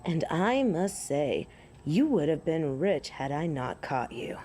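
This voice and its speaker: A woman's voice: sits low, very animated, slow pace, dark tone, slight background noise, heavily acted. A woman playing a proud, honorable female werewolf in an audio drama, speaking in formal, old-fashioned, solemn phrases. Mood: smug, teasing.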